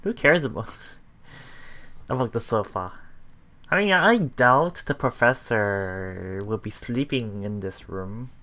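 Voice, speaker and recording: A man doing casual let's play commentary, haltingly reading and paraphrasing story text aloud while thinking out loud. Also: fairly low pitch, lively intonation. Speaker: let's player.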